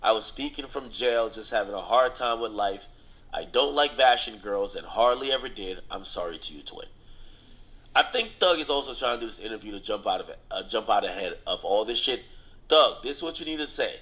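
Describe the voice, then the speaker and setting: A man's voice: lowish voice, lively intonation, a little fast, bright, forceful tone. A man giving casual, slangy commentary on celebrity gossip, bluntly and with repeated phrases for emphasis. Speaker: online commentator and host.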